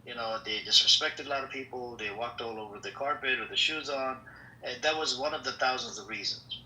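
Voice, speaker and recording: A man's voice: medium pitch, a little fast, sharp tone. A man hosting a casual podcast, explaining history in a rambling way with many fillers and restarts. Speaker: podcast host. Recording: echoey room with faint noise.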